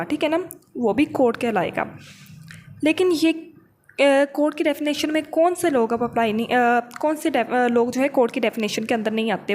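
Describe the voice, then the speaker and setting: Female law teacher, Pakistani accent, high-pitched voice, didactic explainer style, repeats and rephrases, noticeable noise behind her. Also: darkish tone. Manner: didactic, repeats and rephrases points, poses questions and answers them. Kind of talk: explanatory lecture mixing English legal terms, reading clauses and explaining them.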